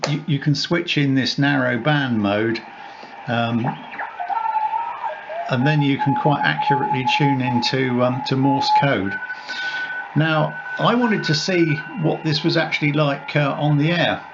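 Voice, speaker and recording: A man giving an informal, unscripted equipment demonstration as a radio hobbyist, explaining haltingly and correcting himself as he goes. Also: medium pitch, fairly even intonation. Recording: dull sound with loud background noise.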